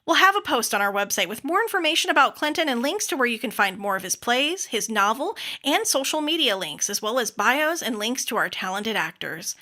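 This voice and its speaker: A woman's voice: fairly high pitch, very animated, fairly quick, few pauses, pushed and bright. A woman hosting a podcast, reading scripted announcements. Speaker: podcast host.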